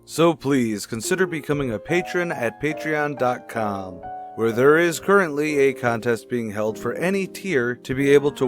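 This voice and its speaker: A man reading a scripted outro announcement as a podcast announcer. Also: mid-range voice.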